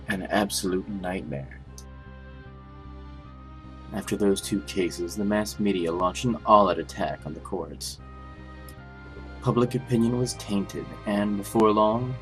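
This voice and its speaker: A man playing a stern, formal chief prosecutor in a game fan dub, speaking in a dignified, deliberate way over music. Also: fairly low pitch, natural voice. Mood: grave. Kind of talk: scripted anime-game fan dub acting. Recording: music behind the voice.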